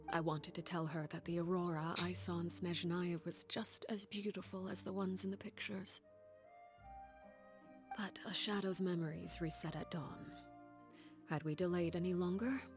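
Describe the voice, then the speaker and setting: A woman playing a composed, commanding female leader in a video game, speaking in a measured, solemn, authoritative way. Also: on the low side, lightly acted. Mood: somber. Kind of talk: video game character acting.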